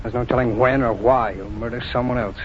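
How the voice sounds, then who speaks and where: An actor playing a calm, methodical federal agent. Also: male voice, mid-range voice, fairly quick, soft background music, natural voice. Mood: investigating. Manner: matter-of-fact, businesslike. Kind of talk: 1940s radio acting. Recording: muffled 1940s radio broadcast.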